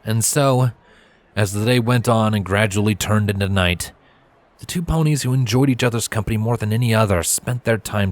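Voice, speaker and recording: Low-voiced man narrating a story. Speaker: narrator, fan fiction reader.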